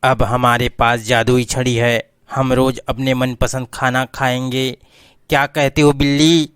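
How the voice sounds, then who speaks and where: A man's voice: medium pitch, level tone, a little slow, fairly bright tone, clear, resonant tone, dry recording, clean studio sound, natural voice. A man voicing a scheming talking dog in a cartoon story, speaking in a plain, bossy way.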